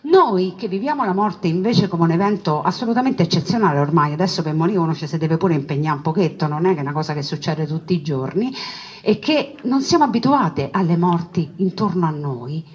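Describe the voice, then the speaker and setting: The recording is slightly dull and muffled. A woman tells a story as a stage monologue, her voice on the low side and husky. She addresses her listeners expressively, repeating phrases and slipping into conversational asides. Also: animated, a little fast, a little mellow. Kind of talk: theatrical narrative monologue to an audience.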